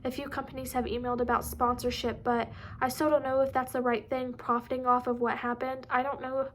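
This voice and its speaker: A woman's voice: high voice, little pitch movement, fairly quick, a bit bright, slight background noise. A woman reading the lines of an earnest, worried teenage girl in a storybook, in close to her own voice. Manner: earnest, sighing.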